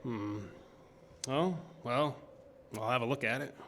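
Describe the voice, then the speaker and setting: A man's voice: medium pitch, takes it slowly. An actor playing a jittery, weary oil-field geologist in a horror audio drama, hesitant and repeating his words. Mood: distracted. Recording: noisy track with ambient sound.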